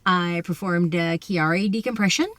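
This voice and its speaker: An actress playing a candid, wry surgeon in an audio drama, conversational and dryly critical. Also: female voice, lowish voice, normal speed, fairly bright tone, close to an everyday voice. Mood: wry, casual.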